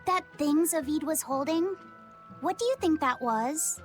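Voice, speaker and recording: A woman voicing an eager, excitable, whimsical youngster in an English game dub, playful and exclamatory. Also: sits high, unhurried, faint music behind.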